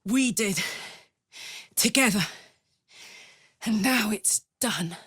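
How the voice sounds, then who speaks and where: A woman playing a brash, warm-hearted tough warrior in a video game, blunt and sweary with a casual swagger and earnest feeling. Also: mid-range voice, drawn-out words, slightly rough, no room sound, clean studio sound, exaggerated voice. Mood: frank, warm. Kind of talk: video game character voice lines.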